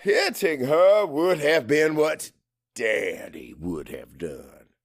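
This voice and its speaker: A man's voice: fairly high pitch, very animated, slow pace, no room sound, clean studio sound. A man reading a first-person audiobook narration in a dramatic, brooding and intense manner.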